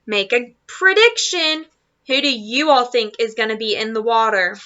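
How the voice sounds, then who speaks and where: Bright, high-voiced woman reading a storybook. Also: smooth, highs cut off. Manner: expressive, deliberate reading with questions to listeners. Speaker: teacher reading aloud to students. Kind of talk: children's storybook read-aloud in Spanish and English.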